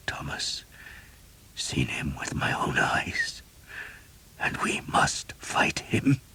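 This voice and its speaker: A man's voice: rumbling low, vocal fry, warm tone, a little hiss or hum, exaggerated voice. A man voicing a dying older male priest who speaks in a weak, strained whisper. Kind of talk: audiobook character reading.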